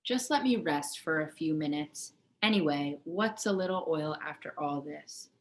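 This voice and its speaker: A woman's voice: sits low, moderate pace, pitched below the performer's own voice, lightly acted. Lady playing kindly tidy older neighbour woman from a kids book, homely and reassuring, roomy echo. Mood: weary. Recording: echoey room.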